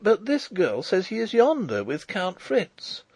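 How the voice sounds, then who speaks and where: A noble young princess from a storybook as a man acts her out, pitched high with sweeping intonation. She is proud and tender, speaking in formal, impassioned phrases, close and dry. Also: huge pitch swings, unhurried, a little mellow, dry recording, full character voice. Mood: bewildered. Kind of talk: audiobook character voice.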